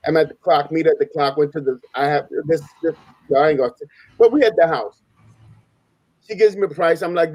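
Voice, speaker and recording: Male panel storyteller, native Spanish speaker, slangy and emphatic, rapid delivery, slightly high register. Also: darkish tone, dry recording. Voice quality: slangy, informal street talk. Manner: emphatic, repeats phrases, acts out quoted dialogue. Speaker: panelist on a live open panel. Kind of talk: casual animated storytelling.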